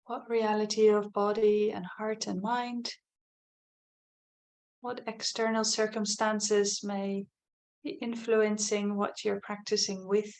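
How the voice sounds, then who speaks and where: A woman teaches a guided body scan meditation in a medium-pitched voice. She speaks gently and takes her time, leaving long pauses between phrases. Each instruction is offered softly, as an invitation. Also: little pitch movement.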